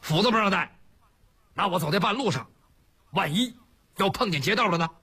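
Male storyteller as hot-headed outlaw brute, high-pitched and husky, blunt, blustering, plaintive. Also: expressive, a little fast, exaggerated voice. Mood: protesting. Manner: loud, blunt, wailing and pleading. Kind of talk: traditional Chinese storytelling performance.

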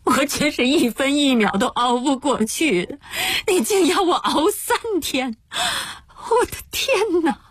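A woman narrator voicing a desperate opium-addicted father in withdrawal, hoarse and strained, pleading and shouting. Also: extremely high pitch, sing-song, slow pace, dry recording, studio quality, pitched above the performer's own voice, exaggerated voice. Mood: agonized wailing.

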